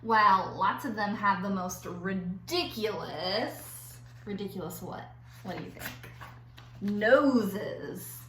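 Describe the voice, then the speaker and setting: Woman, teacher, children's read-aloud, very animated, playful, drawn-out emphasis, roomy echo, faint background noise. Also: mid-range voice. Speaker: teacher reading aloud to her class. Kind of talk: expressive children's read-aloud with chatty asides.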